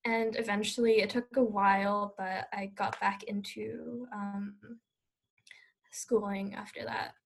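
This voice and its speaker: A woman's voice: mid-range voice, level tone, measured pace, creaky. A young adult woman telling a personal story in a casual, candid conversational way.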